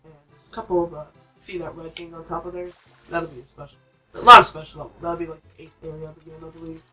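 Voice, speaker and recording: A man's voice: on the high side, flat delivery, quick pace, bright, forceful tone, poor audio. A man hosting a let's play, giving casual, rambling, informal commentary as he plays a video game. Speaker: gaming video host. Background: game music.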